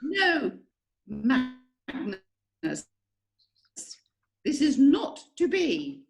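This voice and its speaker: A woman playing a passionate aristocratic widow in an amateur play reading, with theatrical, drawn-out delivery. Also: high-pitched, wildly swooping pitch, creaky, full character voice. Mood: pleading. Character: melodramatic aristocratic lady of the manor, passionate widow.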